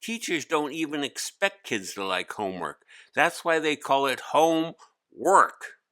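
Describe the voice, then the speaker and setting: A man acts out a young schoolboy from a children's storybook, pitched high and bright. He whines and grumbles, forever burdened and ready with an excuse. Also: very animated, measured pace, exaggerated voice. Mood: grumbling. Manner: whiny, complaining. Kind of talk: storybook character voice.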